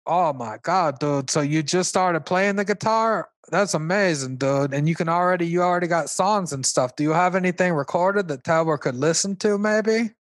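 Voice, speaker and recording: A man's voice: fairly high pitch, moderate pace, few pauses, no room sound, clean studio sound, natural voice. A man playing an easygoing, enthusiastic slacker host who talks like a laid-back dude in a comedy radio interview. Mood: upbeat, encouraging. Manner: laid-back surfer-dude talk, constant "dude". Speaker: talk show host.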